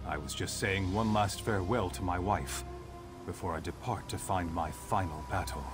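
Casting: male actor as weary, loyal game warrior; lowish voice, earnest and unhurried, over clear music. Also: close to an everyday voice. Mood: solemn, warm farewell. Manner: solemn, measured, earnest. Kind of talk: scripted game character dialogue. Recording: music behind the voice.

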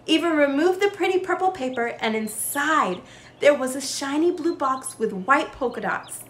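A young woman reads a storybook to children. Her voice is high and very lively in pitch, with expressive emphasis on the words as she narrates. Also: moderate pace, reverberant, slight background noise. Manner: animated, sing-song emphasis. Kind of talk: expressive read-aloud storytelling for kids.

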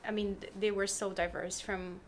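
A woman's voice: mid-range voice, level tone, normal speed, a little hiss or hum. A woman chatting casually as a podcast guest, with hesitant, loose phrasing. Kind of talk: casual interview chat.

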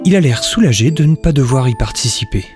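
A man's voice: mid-range voice, animated, fast, close to an everyday voice. A man playing a wry, overwhelmed but keen young hero who narrates his own adventure in an audio drama. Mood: narrating, reflective. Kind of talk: first-person audio drama narration and dialogue. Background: prominent music.

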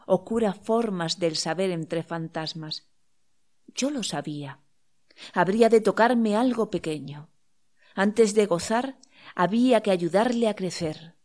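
A woman reading a literary audiobook of verse, pausing deliberately between short phrases. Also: lowish voice, darkish tone. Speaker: audiobook reader.

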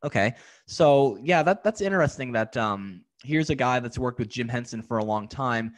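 A man's voice: medium pitch, animated, moderate pace. A young man hosting an interview podcast, friendly and a bit hesitant with many fillers. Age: young adult. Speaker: podcast host. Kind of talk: casual interview chat.

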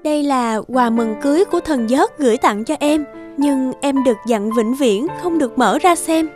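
A woman voicing a sweet, curious young bride in a fairy-tale cartoon, wondering and expressive. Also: high-pitched, normal speed, over music, lightly acted. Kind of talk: animated fairy-tale dubbing.

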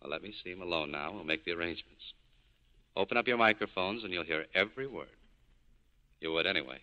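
An actor playing a cool, cynical nightclub owner in clipped, hard-boiled 1940s radio style. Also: male voice, deep voice, expressive, fast, dull audio. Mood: businesslike. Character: tough, cool cynical male nightclub owner scheming an escape. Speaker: lead actor in a radio drama.

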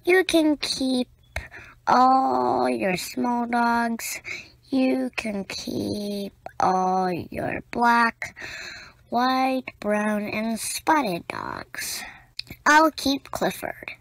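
Very slow-paced woman reading a storybook. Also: on the high side, very animated, vocal fry, no room sound, low-quality recording. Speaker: storyteller reading a picture book aloud. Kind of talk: children's read-aloud storytime narration.

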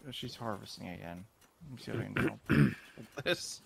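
A man's voice: fairly low pitch, lively intonation, moderate pace, gravelly, bright, forceful tone, slight background noise. A man chatting casually with his partner during co-op gameplay. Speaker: co-op gaming partner.

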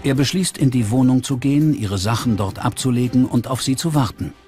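A man's voice: mid-range voice, fairly quick. Male true-crime narrator over prominent music.

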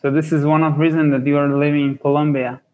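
A young man from Afghanistan in his twenties, a university student, practising on a video call and halting as he searches for words. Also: fairly high pitch, flat delivery, warm tone, dull audio. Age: 20s. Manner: halting, searching for words, non-native phrasing. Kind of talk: casual video call chat. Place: indoor room. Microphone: earphone headset on a video call.

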